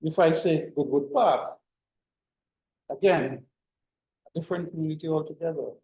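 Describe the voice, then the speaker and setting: A man's voice: on the high side, normal speed, a little mellow, roomy sound. A middle-aged man giving an informal classroom lecture, full of fillers and restarts. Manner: rambling, many fillers and restarts. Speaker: university lecturer.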